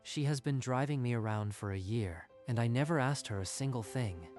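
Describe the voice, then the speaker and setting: A man playing a reserved, bewildered office commuter in a dramatic manga dub, halting and understated. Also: mid-range voice, expressive, darkish tone, close to an everyday voice. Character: reserved, bewildered male office carpool passenger. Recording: music under the voice.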